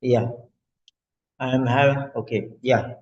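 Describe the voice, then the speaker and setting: A male nursing teacher running a role-play practice lesson, dictating and spelling out phrases slowly. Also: medium pitch, steady tone, a little mellow, lo-fi sound.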